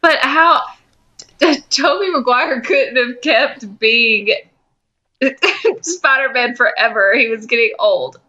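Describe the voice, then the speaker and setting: Bright, unhurried woman chatting on a podcast. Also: fairly high pitch, lively intonation, dull audio. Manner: informal, slangy, opinionated. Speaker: podcast co-host. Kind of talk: casual podcast banter about films and comics.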